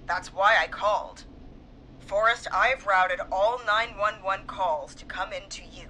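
A woman's voice: medium pitch, measured pace, pushed and bright, a little hiss or hum, rough recording. A woman playing a frightened but determined police dispatcher in a video game. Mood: urgent, insistent.

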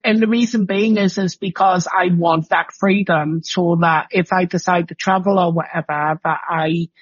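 Geordie man, husky and chatty. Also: sits high, no room sound, lo-fi sound. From northeast England. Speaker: hypnotherapist guest. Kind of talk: casual podcast interview.

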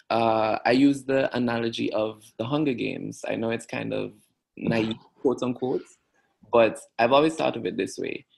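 Young antiguan guy on a podcast, raspy with some fry, thinks out his answers. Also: medium pitch. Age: young adult. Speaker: podcast guest. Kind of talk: thoughtful interview talk.

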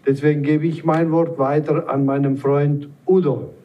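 A man in his forties from South Tyrol in Italy, urging listeners in a persuasive sales pitch. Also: flat delivery, normal speed, recorded from a distance, highs cut off. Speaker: network marketer. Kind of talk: promotional panel talk.

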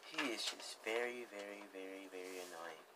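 A man's voice: fairly low pitch, moderate pace, noisy background. A young man giving casual, slangy reaction commentary, repeating himself and exclaiming jokingly. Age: young adult. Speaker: reaction video host. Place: indoor room with green screen.

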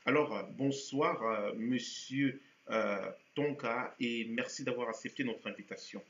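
A man's voice: mid-range voice, measured pace, fairly bright tone. A middle-aged man hosting a studio talk show, introducing guests with frequent hesitations and restarts. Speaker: TV show host. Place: TV studio set with virtual backdrop. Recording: roomy, dull sound with faint hiss.

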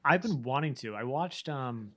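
A man's voice: medium pitch, expressive, normal speed, crowd noise. A man in his thirties chatting casually as a podcast co-host from a room at home. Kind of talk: casual chat. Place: room at home, video call.

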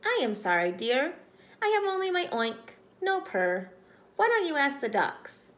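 A woman's voice: high-pitched, very animated, unhurried, fairly bright tone, pitched above the performer's own voice, full character voice. A woman voicing a kindly, apologetic farm pig in a children's storybook, with emphatic delivery.

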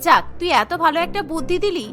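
Woman voicing high, bright bossy village sister-in-law. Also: big pitch swings, fast talker, faint music behind, full character voice. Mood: encouraging. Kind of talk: Bengali cartoon dialogue.

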